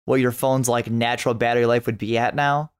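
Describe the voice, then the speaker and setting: A man talks as a podcast guest in an informal way. His pitch is average, and he speaks as if chatting with friends. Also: steady tone, brisk, clean tone. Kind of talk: casual chat.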